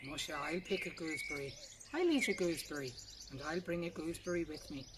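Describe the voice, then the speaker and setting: A woman's voice: low-pitched, expressive, fast talker, far from the mic. An Irish woman voicing a willing, downtrodden young heroine in a folk tale. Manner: willing, simple. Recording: clear background noise with birdsong.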